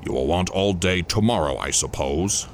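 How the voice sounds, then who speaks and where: A man voicing a bitter, scornful old miser in a storybook reading, gruff and dismissive. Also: sits low, normal speed, slight background noise. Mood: grudging. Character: bitter, indignant, miserly old male sinner.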